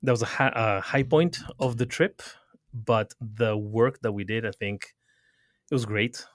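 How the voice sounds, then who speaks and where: Middle-aged man, interview guest; easy storyteller, lowish voice. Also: a little slow, no room sound, clean studio sound. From Ecuador. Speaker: guest, retail consultant. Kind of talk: casual interview chat. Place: recording studio.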